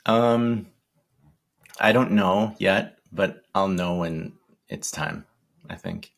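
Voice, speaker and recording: A man in his forties, a guest in a casual interview, talking in a shy, halting way with many ums and restarts. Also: low voice, creaky. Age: 40s.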